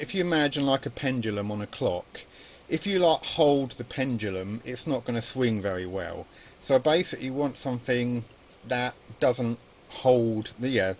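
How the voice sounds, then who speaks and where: A man with a mid-pitched voice gives an informal tutorial in a casual, explanatory way. He thinks aloud as he demonstrates, like a hobbyist teaching at the bench. A faint layer of noise sits behind his voice. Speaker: electronics hobby presenter.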